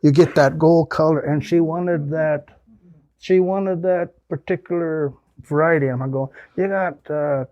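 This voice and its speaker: An older man from the US Pacific Northwest tells rambling, folksy stories to a small audience. His voice is gravelly and sits a little high, recorded dry and close. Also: a little mellow, no echo, dull audio. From Pacific Northwest, United States. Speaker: guest speaker. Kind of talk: storytelling talk with audience questions.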